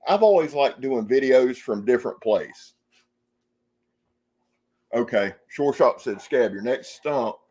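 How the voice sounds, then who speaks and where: A man's voice: mid-range voice, expressive, slow, long pauses, a little mellow. A man casually chatting on a live stream, reading out viewer comments and greeting people by name in a rambling, folksy way. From Jacksonville, Florida area. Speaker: outdoor channel host on a live stream.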